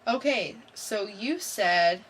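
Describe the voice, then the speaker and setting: A woman co-hosts a podcast with casual, blunt banter. Her voice is bright and mid-pitched, and she takes her time over the words. There is faint noise behind her. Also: lively intonation, slow. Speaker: podcast co-host.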